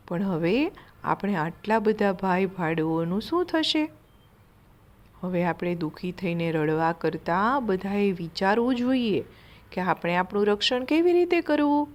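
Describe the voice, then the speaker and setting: A woman's voice: mid-range voice, close to an everyday voice. A woman voicing a small, brave and wise ant queen who speaks in a firm, exhorting manner.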